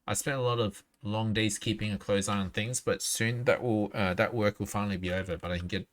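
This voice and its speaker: A man livestreaming a video game, reading dialogue aloud haltingly and dropping in his own reactions. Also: lowish voice, fairly even intonation. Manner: reads on-screen text aloud haltingly, interjects reactions. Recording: clearly noisy mic with clicks.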